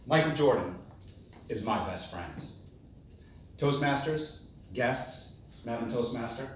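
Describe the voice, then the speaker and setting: A man giving a rehearsed, humorous storytelling speech in a theatrical manner, with dramatic pauses and exclamations. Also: mid-range voice, animated, a little hiss or hum. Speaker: contest speaker. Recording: very echoey room, distant microphone.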